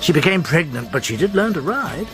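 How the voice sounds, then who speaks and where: An actor playing a wry storyteller recounting family history in a radio drama, measured and amused over music. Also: male voice, a little high, very animated. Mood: wry, reminiscing. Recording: music bed under narration.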